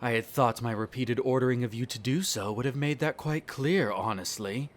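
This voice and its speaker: A man's voice: mid-range voice, normal speed, lightly acted. A man playing an irritable aristocratic vampire in a roleplay audio drama, speaking formally with sneering condescension toward humans. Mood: irritated, dismissive. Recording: nature ambience with birdsong behind. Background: birdsong and garden ambience.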